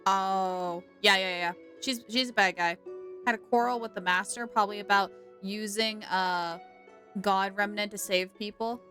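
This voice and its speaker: Bright-voiced chatty woman streamer. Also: medium pitch, fairly even intonation, unhurried, faint music behind. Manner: chatty, reacting aloud. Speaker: game livestreamer. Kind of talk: casual live game commentary.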